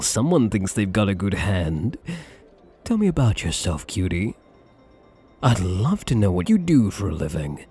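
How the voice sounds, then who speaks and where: A man playing a suave, possessive gambler in an intimate ASMR roleplay, flirtatious and quietly menacing, with a breathy voice and teasing, drawled pet names. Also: lowish voice, heavily acted. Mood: curious, probing.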